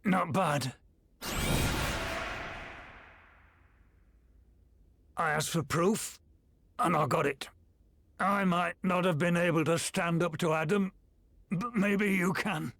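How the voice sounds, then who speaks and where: An actor playing a stubborn, battle-ready general in a video game, blunt and taunting in a colloquial way. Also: male voice, high voice, measured pace, no room sound, exaggerated voice. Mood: grudging respect. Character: gruff, stubborn general, loyal to his oath, battle-ready. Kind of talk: video game cutscene acting. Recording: loud music behind the voice. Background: loud game music.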